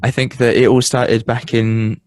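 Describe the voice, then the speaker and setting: Halting young man with a Midlands accent. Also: medium pitch, flat delivery, a little mellow, no echo. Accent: English Midlands. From English Midlands. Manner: halting, many fillers and restarts. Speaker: podcast host. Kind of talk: casual podcast chat. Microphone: headphones and home mic.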